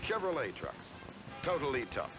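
A man's voice: mid-range voice, wildly swooping pitch, moderate pace, poor audio. An American man reading scripted promotional narration in a polished, emphatic salesman style, on a 1970s film soundtrack. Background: music.

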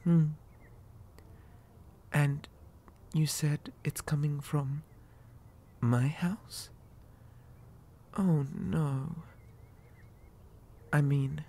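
Creepy living doll boy for ASMR horror male actor: mellow, husky half-whisper, falsely sweet, polite, lonely. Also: fairly high pitch, heavily acted. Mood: politely curious. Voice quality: soft, breathy, often dropping to a whisper. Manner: gentle, unsettlingly polite, lilting childlike address. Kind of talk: ASMR roleplay.

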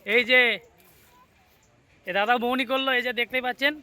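A man giving excited, casual vlog commentary outdoors, speaking straight to his viewers. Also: very high voice, moderate pace, smooth. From West Bengal, India. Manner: excited, addresses viewers directly. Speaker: vlogger. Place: outdoors beside a pond.

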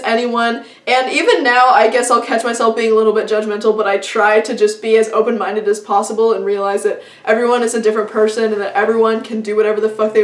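A woman's voice: a little high, reverberant, distant mic. A young woman talking to camera in a casual, upbeat vlog, chatty and full of fillers. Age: young adult. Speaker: vlogger. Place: small indoor room before a plain wall.

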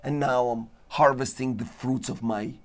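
A man hosting a talk show, declaiming in dramatic lists while his voice is going hoarse. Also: medium pitch, fairly even intonation, unhurried, faint music behind. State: losing his voice. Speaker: show host. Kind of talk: rambling philosophical monologue. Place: studio with green-screen backdrop.

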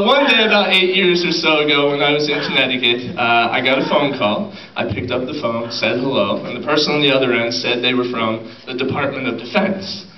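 A man in his thirties giving a prepared best man's wedding speech to an audience, in a halting way. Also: a little high. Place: large indoor wedding reception hall. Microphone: handheld PA microphone, picked up by a far camera. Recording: dull, echoey hall, distant camera, crowd noise. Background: crowd of guests.

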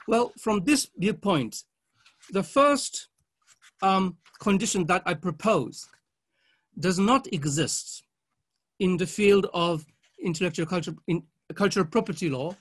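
High-pitched man giving a formal academic talk. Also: lively intonation, a bit bright, slightly rough. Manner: measured, halting delivery in short phrases. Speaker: conference speaker, legal academic. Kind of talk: formal academic conference presentation read with slides.

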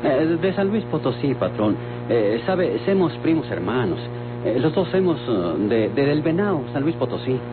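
Man acting a meek, lively-pitched Mexican peasant. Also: normal speed, lightly acted. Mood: meek, devout. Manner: hesitant, deferential. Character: meek, devout young peasant labourer. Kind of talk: old Mexican radio drama acting. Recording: tinny old radio recording, music behind.

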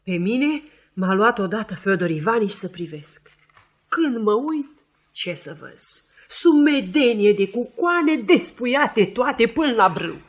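An actor playing a chatty, worldly-wise household servant in a folksy, exclamatory Romanian radio play style. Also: male voice, high voice, big pitch swings. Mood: amazed. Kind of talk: Romanian radio theatre acting. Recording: 1950s radio theatre recording.

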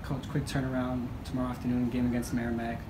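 A man's voice: mid-range voice, completely flat delivery, fast talker. A man reporter asking rambling, run-on questions at a press conference. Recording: echoey room, noisy.

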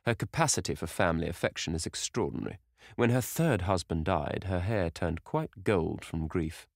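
A drawling, worldly gentleman of leisure, read by a man in a deep, dry voice. He tosses off barbed witticisms with lazy irony, as in a classic novel read aloud. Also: expressive, normal speed, no echo. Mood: ironic. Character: languid, witty aristocratic gentleman.

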